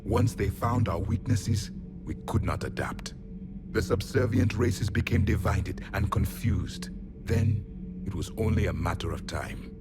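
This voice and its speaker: A deep-voiced, ancient alien warrior, the last of his kind. He speaks with cold pride and grave, measured disdain, as in a video game, over prominent music. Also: expressive. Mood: grim, severe. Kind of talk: video game character dialogue.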